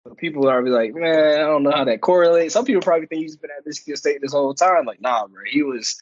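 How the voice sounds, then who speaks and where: Young male co-host, slightly high voice, loose laughing banter, lo-fi sound. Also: quick pace. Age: young adult. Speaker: podcast co-host. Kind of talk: casual chat.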